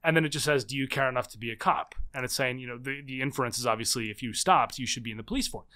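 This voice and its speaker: A man in his thirties, a book author, explaining his ideas in a podcast interview with lively restarts. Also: medium pitch. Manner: animated, fast explaining with restarts. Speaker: guest author.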